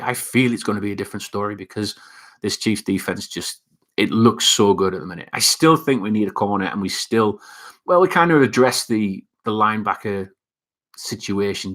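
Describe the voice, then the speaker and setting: Young male host, Northeast England accent, lowish pitch, loose rambling delivery full of ums. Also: fairly bright tone. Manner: rambling, many fillers and restarts. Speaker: livestream host reading chat. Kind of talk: casual live chat.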